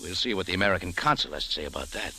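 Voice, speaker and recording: An actor playing a tough, defiant American cafe owner with clipped, wry delivery in a vintage radio noir. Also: male voice, sits low, lively intonation, rapid-fire, bright, forceful tone, slight background noise, exaggerated voice. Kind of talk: 1940s radio noir narration and acting. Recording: old radio recording with music.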